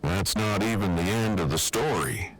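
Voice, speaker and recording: Man playing a low-voiced, stiffly formal male survivor. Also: moderate pace, over music, rough recording. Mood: grave, matter-of-fact. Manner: stiff, verbose, precise diction. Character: formal, long-winded, earnest male survivor. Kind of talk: fan audio drama acting.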